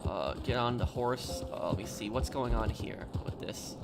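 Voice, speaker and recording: A man's voice: on the low side, normal speed, creaky, loud music behind the voice. An American man from New York chatting casually on a game livestream, rambling and often restarting his phrases. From New York, United States. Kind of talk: casual livestream chat while gaming.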